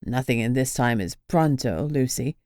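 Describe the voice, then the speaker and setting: A woman reading the part of a gruff, stern male vampire who keeps a portal, speaking in a dry, matter-of-fact way. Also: very deep voice, expressive, moderate pace, darkish tone, dry recording, voice pushed down.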